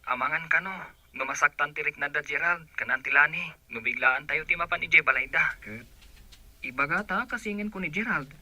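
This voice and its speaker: A man's voice: on the high side, fairly quick, bright, forceful tone, no room sound. A man playing an earnest, pleading young village son in a radio drama. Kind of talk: Ilocano radio drama acting.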